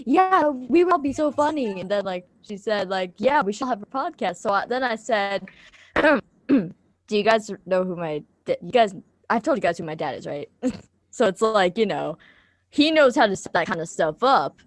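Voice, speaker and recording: A woman's voice: mid-range voice, lively intonation, normal speed. A woman chatting casually, telling things in a hesitant, giggly way. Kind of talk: casual chat.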